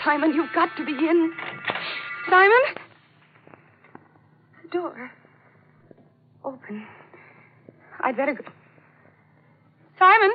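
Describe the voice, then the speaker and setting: An actress playing a frightened, pleading young woman in 1940s radio acting style, breathless and halting, on a tinny old recording. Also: high-pitched. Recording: tinny, band-limited old radio recording with organ music.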